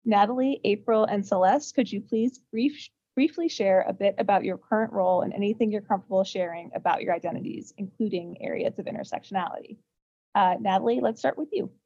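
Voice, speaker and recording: A woman hosts a podcast panel, reading polished introductions and moderating the guests. Her voice comes through dull and lo-fi, with no room sound. She speaks at a mid pitch. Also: fairly quick, warm tone, dry recording. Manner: reads polished intros, then moderates with fillers. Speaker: podcast host. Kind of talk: scripted podcast hosting. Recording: dull, dry lo-fi audio.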